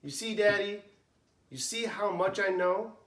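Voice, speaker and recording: A man's voice: high voice, measured pace, fairly bright tone, roomy sound, pitched above the performer's own voice. A man voicing an eager, boastful young boy mouse in a storybook read-aloud.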